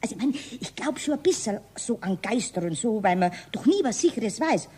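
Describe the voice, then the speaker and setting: Outspoken Bavarian cleaning lady, very high, husky edge, brisk, stubborn, radio play. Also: animated, warm tone. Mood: puzzled, defensive. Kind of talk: 1970s German radio play.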